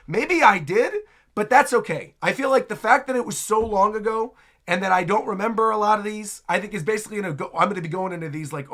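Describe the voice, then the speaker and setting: A man's voice: high voice, a bit bright. A man hosting a casual reaction video, chatty and exclamatory as he comments. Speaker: video host, streamer.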